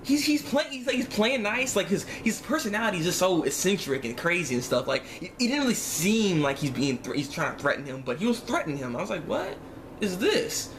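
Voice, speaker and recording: A man giving a casual, rambling review of an animated series episode in slangy, exasperated speech with emphatic repetition. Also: sits high, brisk, noisy background. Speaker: online reviewer.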